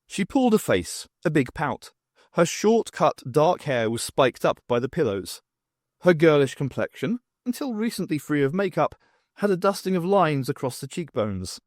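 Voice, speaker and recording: A man's voice: mid-range voice, a little mellow, no echo, clean studio sound. A man reading a novel aloud as scripted audiobook narration.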